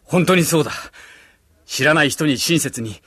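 A man's voice: a little high, moderate pace, a bit bright, husky, no echo, clean studio sound. A man playing an earnest, devout disciple in a radio drama, speaking emphatically. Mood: reverent.

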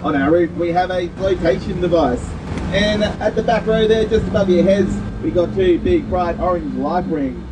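A man's voice: high voice, moderate pace. A man with an Australian accent giving chatty, informal live commentary as a tour guide. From Gold Coast, Australia. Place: on a moving amphibious tour vehicle. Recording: distant mic, clear vehicle and outdoor noise.

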